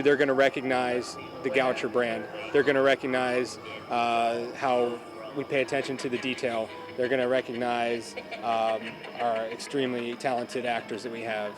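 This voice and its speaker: A man gives an unscripted interview as an organizer. His voice is medium in pitch, and his delivery is hesitant, stopping and starting as he goes. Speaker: haunted attraction organizer.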